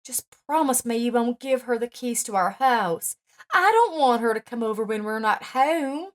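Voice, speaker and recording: A woman voicing an upset young wife who speaks in a high, strained, earnest way. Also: animated, normal speed, natural voice. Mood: pleading.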